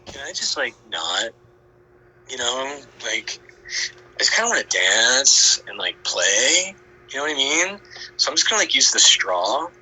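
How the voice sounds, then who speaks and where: A man acts out a laid-back young pig in a homemade storybook play. He talks casually, like a slacker who would rather dance. The voice is very bright and lively in pitch, with faint noise behind it. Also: a little slow, exaggerated voice, poor audio. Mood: lazy, casual. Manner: slacker drawl, casual, noncommittal.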